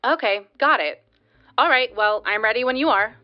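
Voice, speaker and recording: A woman's voice: a little high, normal speed, natural voice. An actress playing an easygoing young female paranormal TV investigator, casual and friendly, interviewed over a phone call. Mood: casual, agreeable. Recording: dull, band-limited phone call sound.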